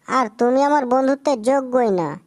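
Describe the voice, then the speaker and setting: A woman's voice: high voice, normal speed, darkish tone, no echo, clean studio sound. A woman voicing a clever, cautious and friendly monkey in a cartoon moral tale, spirited and plain-spoken. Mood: indignant.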